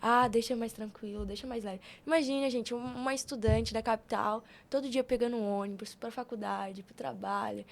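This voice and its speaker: A woman's voice: fairly high pitch, steady tone, brisk, gravelly, hardly any pauses. A young woman with a Brazilian accent chatting informally and telling personal stories on a podcast. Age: young adult. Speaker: podcast guest. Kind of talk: casual podcast conversation.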